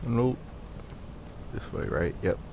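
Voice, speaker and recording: A man's voice: medium pitch, expressive, slow, vocal fry. A man giving casual, offhand commentary as he plays a video game, muttering to himself. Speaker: gamer narrating his own gameplay. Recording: game noise under the voice. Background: video game dungeon ambience and combat sounds.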